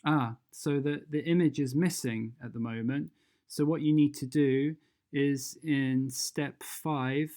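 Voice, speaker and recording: A presenter narrating a casual step-by-step software tutorial, informal and instructional, thinking aloud along the way. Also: medium pitch.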